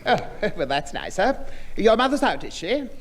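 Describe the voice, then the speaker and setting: A man playing a fussy, mild and hesitant gentleman in a 1960s radio comedy, prim and polite. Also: high voice, sing-song, normal speed, in a large hall. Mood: prim, pleased.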